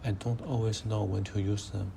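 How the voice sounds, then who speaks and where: 18 y/o guy w mandarin accent, kinda low voice, careful answers with lots of uh, faint hiss behind. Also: level tone, vocal fry. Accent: Mandarin Chinese. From China. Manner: measured, hesitant with uh fillers. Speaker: interviewee, high school student.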